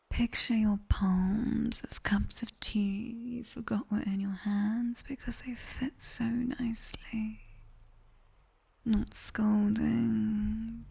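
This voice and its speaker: A British woman voicing a sharp-tongued, teasing demon tutor in a whispered ASMR roleplay, guiding the listener slowly, close to the microphone. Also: on the high side, heavily acted. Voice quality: breathy, mostly whispered. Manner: slow, dry, teasing instruction. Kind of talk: ASMR roleplay, guided body scan. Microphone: close binaural microphone.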